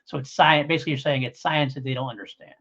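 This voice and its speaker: A man's voice: medium pitch, steady tone, quick pace, fairly bright tone, slightly rough, highs cut off. A man co-hosting a casual review podcast, explaining things in a rambling, conciliatory way. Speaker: podcast co-host. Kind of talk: casual audio drama review.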